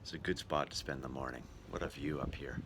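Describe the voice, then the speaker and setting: A man's voice: steady tone, fairly quick. A man narrating his travels in a casual vlog style, talking as he goes. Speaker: radio show host and entertainer on tour. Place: mostly outdoors, on location while travelling. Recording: noisy vlog audio with ambient vehicle rumble.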